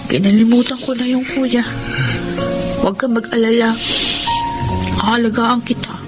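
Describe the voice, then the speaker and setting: A woman's voice: a little high, steady tone, measured pace, natural voice. A woman playing a forgiving, caring Filipina younger sister in a radio drama, consoling and earnest. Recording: loud music over dialogue.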